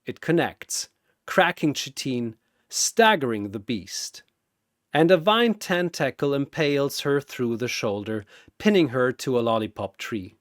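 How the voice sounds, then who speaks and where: A man's voice: medium pitch, expressive, a little slow, dry recording, clean studio sound. Guy doing intense theatrical battle narration, takes his time, voice swings up and down a lot. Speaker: storyteller narrator. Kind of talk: dramatic scripted fight narration.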